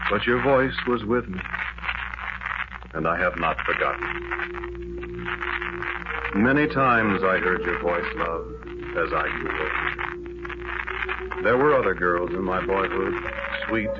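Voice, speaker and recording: An actor playing a wistful, lovelorn man in a poetic, measured, dreamy 1940s radio drama monologue. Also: low-pitched. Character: wistful lovelorn man recalling lifelong search for love. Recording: noisy, muffled old radio recording.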